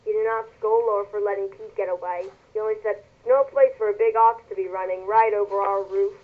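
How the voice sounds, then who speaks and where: Through a thin, telephone-like connection, a female reader reads a children's novel aloud. Her delivery is careful, with occasional stumbles and corrected words. Her pitch is average for a woman. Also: steady tone, brisk. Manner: careful reading with word stumbles and self-corrections. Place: remote call. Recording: tinny, narrow phone-like call audio.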